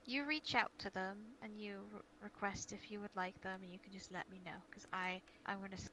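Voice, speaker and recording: A woman's voice: medium pitch, brisk, sharp tone. A woman speaking earnestly and giving instructions in an improvised in-character roleplay chat, as a guild leader. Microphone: VR voice chat microphone. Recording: noisy online voice chat.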